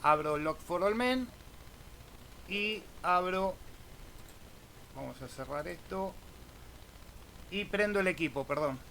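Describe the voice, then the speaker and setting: Higher-voiced Argentine man, noisy background. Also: expressive, slow, gravelly, long pauses, pushed and bright. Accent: Argentine Spanish. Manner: hesitant, explanatory, punctuated with checking tags. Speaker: amateur radio operator and video host. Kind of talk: informal screen tutorial.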